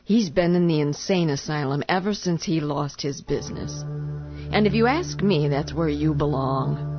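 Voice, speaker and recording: Cold, low-voiced older landlady. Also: moderate pace. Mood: scornful. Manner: curt, scornful. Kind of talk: old Hollywood-style dramatic acting. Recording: dull lo-fi audio with loud music.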